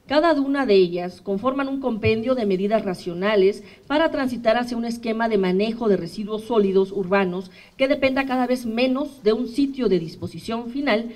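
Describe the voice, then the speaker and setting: A woman in her thirties with a Mexican accent, reading a formal statement at a podium microphone for a human rights commission, measured and steady. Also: on the high side. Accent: Mexican Spanish. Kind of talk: formal statement read aloud. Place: indoor press room, at a podium.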